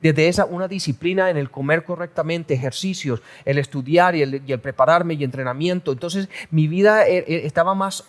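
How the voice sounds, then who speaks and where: A middle-aged man from the Canary Islands, a pastor telling his life story in a relaxed interview, talking with many restarts and little jokes. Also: on the high side, fairly quick, hardly any pauses. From Canary Islands, Spain. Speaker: pastor and guest. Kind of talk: casual testimony interview.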